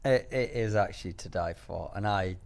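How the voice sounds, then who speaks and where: A man co-hosting a podcast, talking in a boastful, halting way with stammered restarts. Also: on the low side, creaky. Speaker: podcast co-host. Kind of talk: casual chat.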